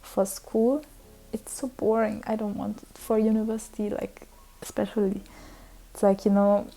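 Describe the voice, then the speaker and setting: A young woman, a native Hungarian speaker, talks casually to her vlog audience in a rambling way, full of fillers and restarts. Her tone is very dark and mellow. Her pitch sits at an ordinary middle height. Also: little pitch movement, normal speed, vocal fry, rough recording. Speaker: vlogger. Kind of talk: casual chat.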